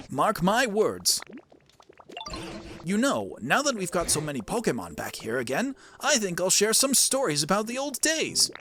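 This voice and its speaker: A performer voicing a wise, kindly old professor creature from a video game, speaking in a measured, formal, reminiscing way. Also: mid-range voice, a bit bright, slight background noise. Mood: nostalgic.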